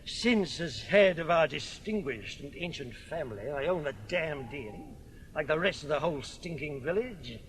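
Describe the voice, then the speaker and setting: An actor playing a dissolute, drunken village squire in a British radio drama, with an upper-class English accent and a mocking, pompous manner. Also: male voice, a little high, lively intonation, moderate pace, fairly bright tone, rough recording.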